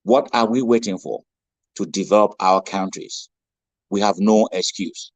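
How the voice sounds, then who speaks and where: A man's voice: lowish voice, a little slow, dry recording. Impassioned Ghanaian man giving a speech. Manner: rousing, rhetorical questions and repetition. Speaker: guest speaker.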